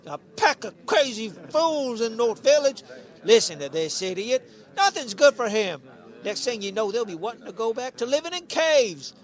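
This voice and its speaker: Radio play: scornful American village elder, very high, animated, folksy and scolding. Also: male voice, moderate pace. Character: crotchety old village grandsire, scornful defender of tradition. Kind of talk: radio drama acting.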